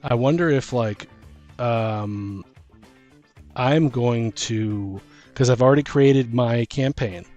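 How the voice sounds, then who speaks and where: A man in a casual chat about tabletop gaming as co-host and game master, rambling and halting as he thinks aloud. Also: mid-range voice, darkish tone. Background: background music.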